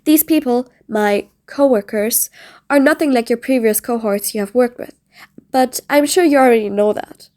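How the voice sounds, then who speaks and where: A woman's voice: a little high, moderate pace, warm tone, natural voice. A woman playing a mean but patient supervillain in an audio roleplay, bossy and sardonic, with threats that carry grudging fondness. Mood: stern warning. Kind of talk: scripted audio roleplay addressed to the listener.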